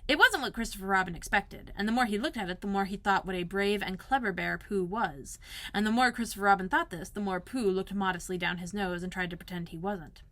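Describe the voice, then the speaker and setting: A woman narrating a children's storybook aloud in an expressive style, like a school librarian. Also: medium pitch, brisk, no room sound.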